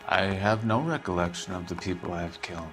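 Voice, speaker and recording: Defiant vagrant killer: lowish voice, bitter, contemptuous, unhinged yet deliberate. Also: male voice, a bit bright, faint music behind. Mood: defiant, evasive. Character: bitter, defiant deranged ex-Marine vagrant murder suspect. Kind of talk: dramatic game acting.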